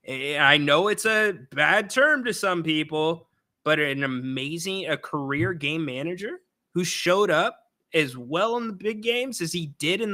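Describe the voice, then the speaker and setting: A young man hosting a sports talk show, chatting casually, his voice a little raspy from being unwell. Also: fairly high pitch, sharp tone. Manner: loose, chummy, says dude a lot.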